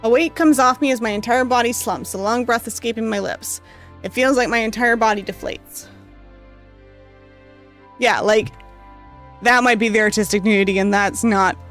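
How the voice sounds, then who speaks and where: A woman's voice: a little high, a little slow, long pauses, fairly bright tone, soft background music. Woman streamer losing her voice. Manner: casual, rambling, heavy on fillers. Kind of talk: reading a visual novel aloud and chatting with viewers.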